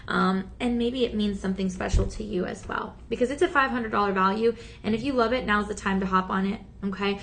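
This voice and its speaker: A woman hosting a shopping channel, chatty and encouraging, with a slightly raspy voice that is still healing from talking so much. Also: mid-range voice, slight background noise. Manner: chatty, upbeat and encouraging, many fillers. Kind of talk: live TV sales pitch. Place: TV studio.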